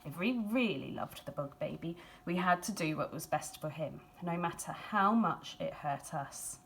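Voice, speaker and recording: A woman reads a children's storybook aloud expressively, in a measured storytelling manner with dramatic emphasis and hushed phrases. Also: low-pitched, animated. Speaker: school staff member reading a picture book aloud.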